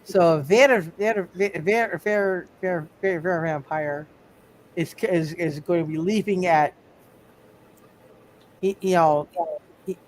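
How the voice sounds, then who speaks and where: High-pitched man running a tabletop game. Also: measured pace, pauses often, a little hiss or hum, poor audio. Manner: repeats words and phrases while thinking. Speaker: game master. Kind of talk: improvised narration of a live tabletop roleplaying game.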